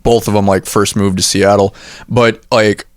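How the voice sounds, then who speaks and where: A man who grew up in Washington State, a band's bassist, telling stories in a chatty way and laughing as he talks. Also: level tone, moderate pace, dry recording, studio quality. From Washington State, USA. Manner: chatty storyteller, laughs while talking. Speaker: band member, bassist. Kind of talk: casual interview chat.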